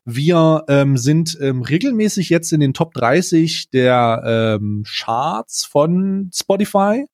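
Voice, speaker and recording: A man hosting a casual comedic podcast, talking in a halting, informal way with bits of English slang. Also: on the high side, expressive, a little mellow, no room sound, clean studio sound. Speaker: podcast host.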